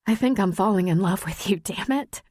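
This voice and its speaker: A woman's voice: medium pitch, moderate pace, darkish tone, slightly rough, no room sound, studio quality, lightly acted. A woman voicing an earnest, openly emotional adult woman lawyer in an audiobook. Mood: urgent, pleading.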